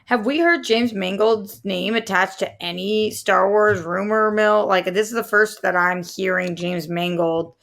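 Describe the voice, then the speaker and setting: A woman's voice: medium pitch, unhurried, hardly any pauses, a bit bright. A woman with an American accent chatting casually as a podcast co-host, informal and inquisitive about entertainment news.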